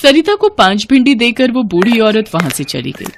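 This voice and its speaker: A woman's voice: on the high side, very animated, normal speed, noisy background. A woman narrating a scripted moral story as a storyteller.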